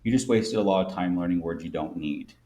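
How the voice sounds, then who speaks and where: Deep-voiced Midwestern American man teaching. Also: fairly quick, mellow. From United States. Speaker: English teacher. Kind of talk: scripted explainer lesson.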